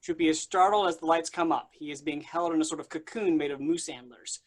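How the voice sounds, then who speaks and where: A man's voice: on the high side, a little fast. A man reading stage directions as scripted narration at a play reading.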